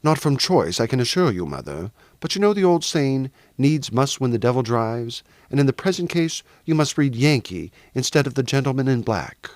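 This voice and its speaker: A man voicing a brave, weary, good-humoured young gentleman soldier in an audiobook novel. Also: mid-range voice, sing-song, moderate pace, hardly any pauses, dry recording, studio quality, natural voice. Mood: weary, wry.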